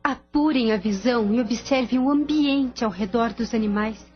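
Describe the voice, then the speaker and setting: A woman playing a solemn spirit teacher who guides her students in formal, measured instruction in a radio drama. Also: a little high, lightly acted. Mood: solemn, urging. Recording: slightly dull radio sound, faint music.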